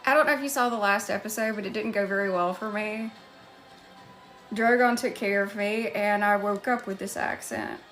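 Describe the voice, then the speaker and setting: Chatty woman live streamer, bright voice. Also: medium pitch, steady tone, moderate pace, faint music behind. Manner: chatty, thanks viewers by name between stories. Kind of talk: casual live-stream chat with viewers.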